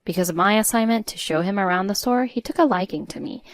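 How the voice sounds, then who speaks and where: A woman narrates a scripted personal story at a medium pitch. Her delivery is measured and articulate, and the recording is very dry with no room sound. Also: no echo.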